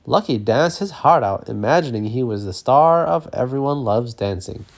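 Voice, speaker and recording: A man narrating a children's story as an expressive read-aloud. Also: medium pitch, moderate pace, vocal fry.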